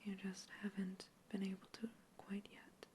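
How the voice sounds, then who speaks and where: A young woman whispering close to the mic in a sci-fi ASMR roleplay, calmly questioning and reassuring as a scientist observing an alien. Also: mid-range voice, measured pace, slight background noise. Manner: soft whisper throughout, calm and reassuring. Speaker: ASMR creator. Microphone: close ASMR microphone.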